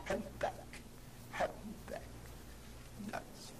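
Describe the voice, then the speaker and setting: An actor playing a crotchety, blustering old miser in old radio drama style. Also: male voice, takes it slowly, close to an everyday voice. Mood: muttering, dismissive. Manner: querulous, blustering. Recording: old record with loud music.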